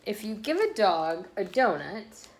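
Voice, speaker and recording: A woman's voice: medium pitch, big pitch swings, measured pace. Woman reading a picture book, noisy recording. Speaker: children's read-aloud host. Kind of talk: expressive picture-book read-aloud.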